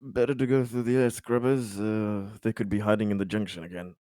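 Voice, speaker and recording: A man's voice: fairly low pitch, flat delivery, brisk, mellow, dry recording. Wry man bantering, dry close sound. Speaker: tabletop game player. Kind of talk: casual banter.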